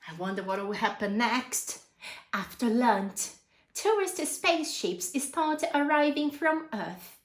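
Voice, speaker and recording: A woman with a high, very lively voice reads a children's story at an unhurried pace. She is expressive and often turns to her young listeners with prompting questions, as a storyteller would. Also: echoey room. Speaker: storyteller reading a picture book to children. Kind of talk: animated children's read-aloud with asides and questions.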